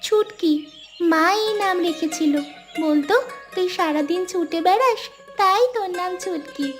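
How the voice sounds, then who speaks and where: A woman's voice: very high-pitched, measured pace, faint music behind. A woman playing a poor, brave orphaned village girl in a cartoon drama, earnest and polite. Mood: sad, plain. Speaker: cartoon character voice.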